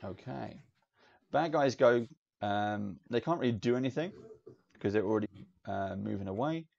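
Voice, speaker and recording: A man narrating as game master in a relaxed, meandering way, in dull lo-fi audio with faint hiss. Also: on the low side, moderate pace, vocal fry.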